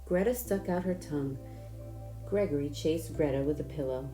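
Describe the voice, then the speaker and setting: A woman's voice: low-pitched, moderate pace, warm tone, soft background music, low-quality recording. A woman narrating a children's storybook aloud. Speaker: storybook reader.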